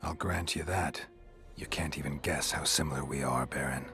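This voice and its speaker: A man's voice: very deep voice, steady tone, moderate pace, bright, forceful tone, soft background music. A man playing a stoic, world-weary mercenary monster hunter in a fantasy video game, speaking in a terse, dry, understated way. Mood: cool, guarded. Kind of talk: dramatic fantasy game acting.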